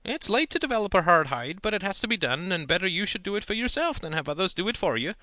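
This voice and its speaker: A man's voice: high-pitched, sing-song, quick pace, pushed and bright, no echo, voice pushed up, heavily acted. A man doing a preachy, folksy old uncle. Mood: lecturing. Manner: preachy, rambling, down-home lecturing. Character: folksy older small-town uncle lecturing nephew.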